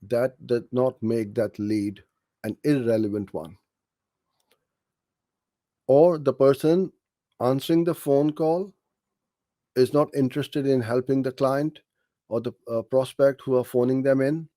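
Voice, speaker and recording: Mellow-voiced man giving off-the-cuff advice. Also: mid-range voice, steady tone, unhurried, long pauses, dry recording, clean studio sound. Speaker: digital marketing coach answering a question.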